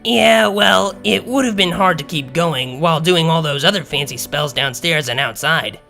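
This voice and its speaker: A man's voice: a little high, lively intonation, moderate pace, vocal fry, sharp tone, soft background music, full character voice. A man voicing a young female pony DJ in a story, awkward, good-natured and casual. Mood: awkward, modest.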